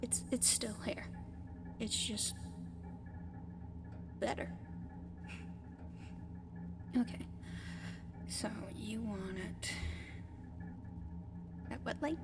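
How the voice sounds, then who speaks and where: A woman voicing a gentle giant alien in an ASMR roleplay, speaking softly and often whispering, in a hesitant, halting, explaining way. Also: on the high side, very noisy. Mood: gentle, apologetic. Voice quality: soft, breathy, often whispered. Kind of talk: ASMR roleplay addressed to the listener.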